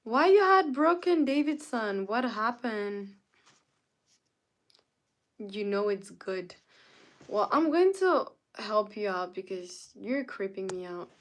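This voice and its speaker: A woman's voice: medium pitch, animated, slow, pauses often. A young woman from Canada hosting a casual live stream, greeting viewers by name and answering their chat comments. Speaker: livestreamer.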